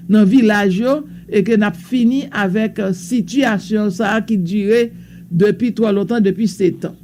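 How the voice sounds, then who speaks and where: Haitian guy reading the news, kinda high voice, stumbles a bit, light background hiss. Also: a little mellow. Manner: reads bulletins in a loose mix of French and Creole, with hesitations. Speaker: news presenter.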